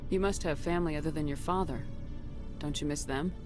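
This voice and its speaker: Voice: mid-range voice, fairly quick, close to an everyday voice. An actor playing a military commander who asks direct, probing questions, in a video game. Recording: game audio with music behind.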